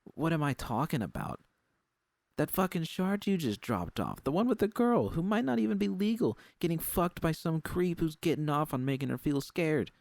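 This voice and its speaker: A man's voice: on the high side, very animated, normal speed, vocal fry, darkish tone, no echo, pitched above the performer's own voice. A man voicing a hot-headed, indignant young woman tech editor in an audiobook story, confrontational and foul-mouthed. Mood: outraged. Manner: confrontational, profane.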